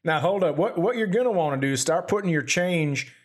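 A man's voice: high-pitched, expressive, fairly quick. A man hosting a podcast in laid-back comedic chat.

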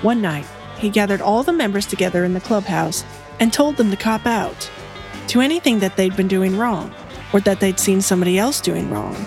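A woman giving measured, scripted documentary narration as the podcast's narrator. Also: medium pitch, darkish tone, soft background music.

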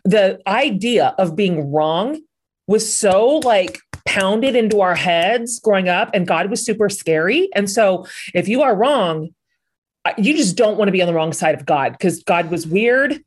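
A woman hosting an interview, speaking in a thoughtful, measured way and drawing out words for emphasis. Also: mid-range voice, lively intonation. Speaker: podcast host. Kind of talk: interview conversation.

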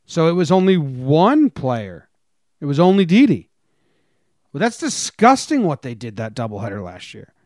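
A man's voice: on the high side, very animated, normal speed, darkish tone, no room sound, studio quality. A man co-hosting a sports podcast, talking casually and informally as a fan. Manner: informal, conversational. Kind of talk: casual chat, fan discussion.

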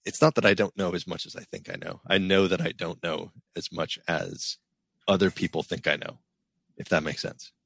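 Middle-aged male co-host, deep voice, rambling and self-deprecating. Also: fairly even intonation, moderate pace, creaky, a bit bright, dry recording. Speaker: podcast co-host. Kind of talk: casual podcast chat.

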